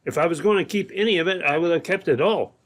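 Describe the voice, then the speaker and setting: A man's voice: fairly high pitch, quick pace, lightly acted. A man playing a nervous, impatient gold thief in an old-time radio drama, speaking bluntly. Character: jumpy, impatient gold thief eager to flee.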